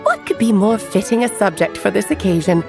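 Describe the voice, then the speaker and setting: A woman voicing a bold, persuasive merry witch in a video game, with a lively coaxing manner. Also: mid-range voice, a little fast, gravelly, music in the background.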